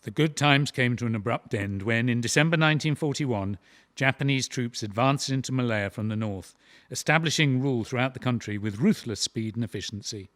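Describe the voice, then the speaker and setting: A man performs the serious narrator of a wartime drama. His voice sits at an average male pitch, and he reads in a precise, documentary manner. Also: fairly quick, a bit bright, lightly acted. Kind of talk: scripted documentary-style narration in a play.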